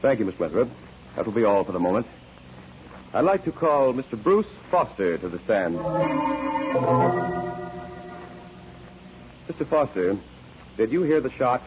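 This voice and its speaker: An actor playing a methodical male investigator questioning witnesses formally and with measured words in a 1940s radio drama. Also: mid-range voice, sing-song, natural voice. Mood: probing, composed. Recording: noisy vintage 1940s radio recording.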